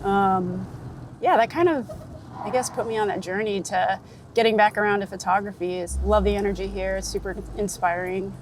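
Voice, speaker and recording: A woman from Florida with an American accent, chatting casually as an interviewed photographer, talkative and quick to laugh. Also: medium pitch, low-quality recording. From United States, Florida. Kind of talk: casual chat while out and about. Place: on location in a city, rooftop, car and street. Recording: outdoor city and vehicle noise.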